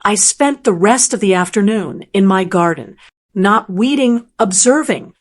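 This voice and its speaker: A woman in her sixties narrating a first-person story in a calm, measured, wry manner. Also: medium pitch. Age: 60s.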